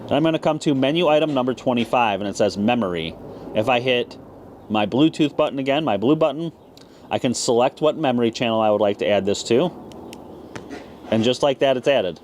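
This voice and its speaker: A man's voice: mid-range voice, expressive, brisk, darkish tone. A man giving a casual, plain-spoken, step-by-step product tutorial as a video host. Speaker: ham radio video host. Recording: clear background noise.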